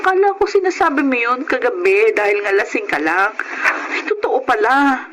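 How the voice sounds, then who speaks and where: A woman's voice: fairly high pitch, animated, moderate pace, heavy background noise, close to an everyday voice. An actress playing a worried, scolding wife and mother in a Filipino radio drama. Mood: alarmed, upset.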